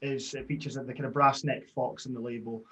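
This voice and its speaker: A man, a brand co-founder, chatting in a relaxed, friendly way with easy laughs. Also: mid-range voice, fairly quick. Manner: friendly, laughs easily, many fillers. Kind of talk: casual interview chat.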